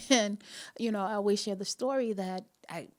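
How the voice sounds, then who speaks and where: A woman's voice: medium pitch, moderate pace. A woman with a Jamaican accent hosting a live online talk, telling a personal story in a chatty, earnest way and often laughing between phrases. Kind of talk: personal storytelling, motivational chat.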